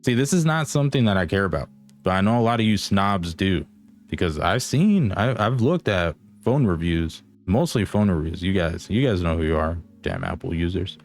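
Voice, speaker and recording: Low-voiced man casually reviewing gadgets. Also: animated, normal speed. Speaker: product reviewer.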